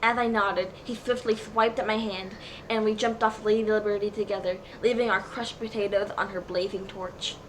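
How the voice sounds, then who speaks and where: A woman's voice: medium pitch, fairly even intonation, moderate pace. Mock-serious woman narrator over background noise. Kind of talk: comedic dramatic reading of fan fiction. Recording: clearly noisy home recording.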